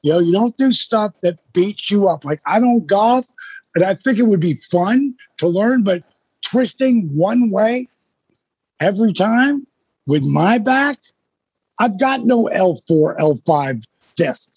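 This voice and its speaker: A man in his sixties with a husky voice, a guest telling his story in a blunt, emphatic way with some swearing. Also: high voice, animated, slow pace, darkish tone, dry recording, dull audio. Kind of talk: casual storytelling in an interview.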